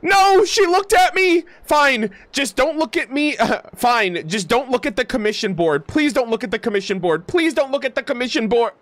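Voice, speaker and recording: Man putting on a high, flustered young office girl. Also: animated, normal speed, few pauses, fairly bright tone, no room sound. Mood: pleading. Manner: stammering, pleading. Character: timid, flustered, overworked young female guild employee.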